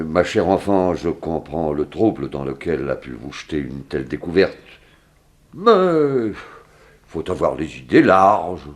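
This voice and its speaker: A small-town sheriff with a low, deep voice. His intonation rises and falls widely as he hesitates and exclaims. The delivery is folksy, flustered 1960s French radio acting. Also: creaky, full character voice.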